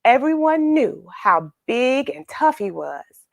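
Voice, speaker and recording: A woman's voice: sits high, gravelly, no echo, studio quality. A woman hosting a children's read-aloud, narrating a storybook expressively with emphasis and questions to young listeners. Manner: expressive, emphatic, dramatic pauses.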